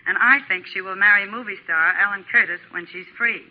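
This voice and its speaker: A woman plays a brisk American lady gossip columnist of 1940s radio, chatty and knowing. The sound is narrow, as from an old broadcast. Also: mid-range voice, close to an everyday voice. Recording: band-limited 1940s radio recording.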